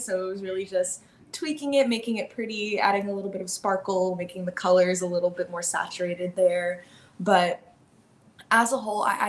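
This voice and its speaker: A young woman from Miami with an American accent, talking casually as a guest about her animated film, upbeat and full of likes and ums. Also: mid-range voice, slight background noise. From Miami, Florida. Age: young adult. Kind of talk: casual interview chat. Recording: roomy, echoey sound with faint ambience.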